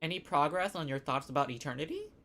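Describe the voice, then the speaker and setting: Low female voice, lively intonation, casual game streamer, playful, reactive, reading choices aloud. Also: fast talker.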